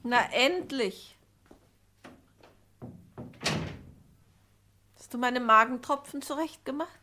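A woman playing a domineering, spiteful old mother in a radio play, sharp, needling and commanding. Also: high voice, moderate pace, slight background noise. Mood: querulous. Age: older.

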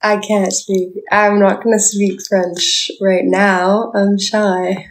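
A woman's voice: mid-range voice, level tone, slow, reverberant. A young woman from Canada chatting playfully with viewers on a livestream, full of "like" fillers, filmed on a phone held at arm's length indoors. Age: young adult. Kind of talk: casual live chat with viewers. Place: indoors, small room.

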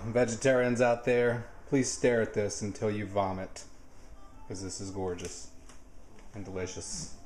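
A man in his thirties hosting a jokey home cooking video in his kitchen, rambling with deadpan asides, recorded on a nearby camera mic. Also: fairly low pitch, roomy sound, a little hiss or hum, rough recording. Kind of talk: jokey mock cooking show. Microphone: camera mic, handheld or nearby.

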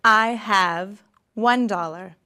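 A woman in her thirties giving a scripted English lesson, deliberate and enunciated. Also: medium pitch, pushed and bright. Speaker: English teacher. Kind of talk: slow scripted language lesson. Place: small classroom set, indoors.

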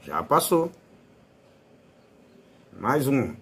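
A man's voice: mid-range voice, very animated, drawn-out words, fairly bright tone, breathy edge. A man with a Northeastern Brazilian accent giving a casual, informal hands-on tutorial as a fishing channel host. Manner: informal, fond of diminutives.

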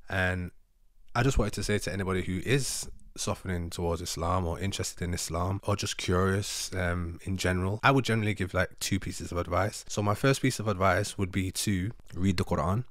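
Very deep-voiced man, close and dry. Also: steady tone, fairly quick, no echo. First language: Urdu. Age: 30s. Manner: rambling, self-correcting, tangential. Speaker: vlogger. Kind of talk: casual reflective chat to camera. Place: living room sofa at home. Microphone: handheld microphone held close.

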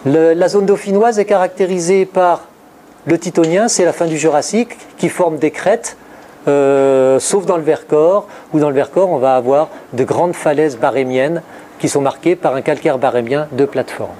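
A man's voice: on the high side, normal speed, mellow, a little hiss or hum. A middle-aged man giving a geology lecture with slides, listing place names and correcting himself as he goes. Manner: lists place names steadily, frequent fillers and self-corrections. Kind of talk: university-style lecture with slides. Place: large lecture hall with blackboards, indoors. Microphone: room mic from a distant camera.

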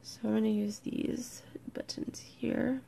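A woman with a croaky, congested voice leads a step-by-step craft tutorial in a relaxed, chatty way, sometimes dropping to a near-whisper as she counts stitches. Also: fairly high pitch, slow pace. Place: indoors at a worktable, overhead camera on hands.

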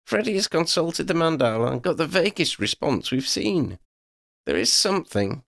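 A man voicing a caring, brisk girl who leads a band of companions in a storybook. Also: a little high, big pitch swings, vocal fry, a bit bright, pitched above the performer's own voice, heavily acted. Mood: brisk, leading.

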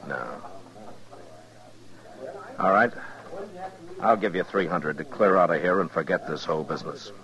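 An actor playing a weary, steady frontier marshal in a 1950s radio western, terse and grave, with an American accent. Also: male voice, sits low, a little slow, long pauses. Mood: firm, resigned. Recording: dull 1950s radio recording.

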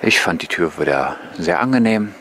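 Very deep-voiced man, chatting outdoors. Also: normal speed. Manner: relaxed, musing, chatty. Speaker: vlogger on a bike tour. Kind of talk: casual running commentary to viewers. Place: outdoors on rural paths and waterside. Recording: noisy outdoor recording with passing vehicles.

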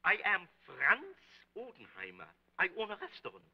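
A man playing an excitable, stubbornly certain middle-aged German restaurant owner in a 1930s film, with halting, emphatic delivery and a German accent. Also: high-pitched, creaky, husky, exaggerated voice. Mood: earnest, insistent. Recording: muffled old 1930s film soundtrack.

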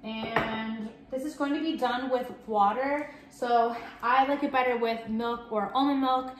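A woman's voice: fairly high pitch, fairly even intonation, measured pace. A woman in her thirties chatting upbeat through a step-by-step cooking demo in her home kitchen, talking to a camera set at table distance. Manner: chatty and upbeat, explaining as she works. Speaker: cooking vlogger. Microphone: fixed camera at table distance. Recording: echoey kitchen with faint noise.

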